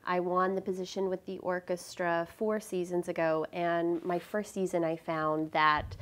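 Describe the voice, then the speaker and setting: Young woman chatting upbeat on a talk show, lotsa um's, lowish voice, lapel mic. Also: little pitch movement, a little slow. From Louisville, Kentucky. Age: young adult. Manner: chatty, upbeat, many fillers. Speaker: guest cellist, founder. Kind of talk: casual interview. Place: TV studio set.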